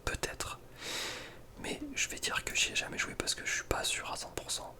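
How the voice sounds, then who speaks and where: A young man whispering casual ASMR gaming commentary in an informal, slangy, hesitant way. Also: normal speed. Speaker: ASMR video creator.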